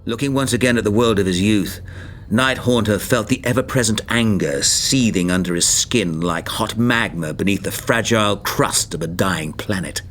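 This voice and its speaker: A man narrating an audiobook in a grave, measured, dramatic scripted style. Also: on the low side, fairly bright tone, a little hiss or hum, natural voice.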